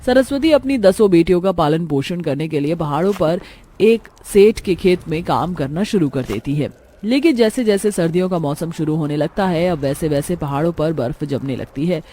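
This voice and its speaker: A woman's voice: low-pitched, dark tone. A woman reading scripted narration for a cartoon story.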